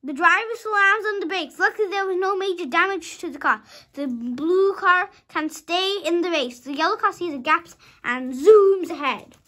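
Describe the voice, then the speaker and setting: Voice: extremely high pitch, normal speed, bright, forceful tone. A young child of about five reading a storybook aloud dramatically, sometimes stumbling over words and rereading them.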